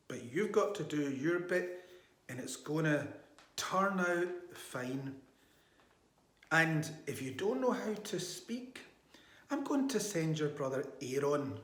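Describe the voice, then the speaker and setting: Guy playing god as a reassuring encourager, unhurried, kids bible story, echoey room. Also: a little high, exaggerated voice. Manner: informal, steady reassurance. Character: reassuring, encouraging male God speaking to his prophet. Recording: roomy, echoing indoor sound.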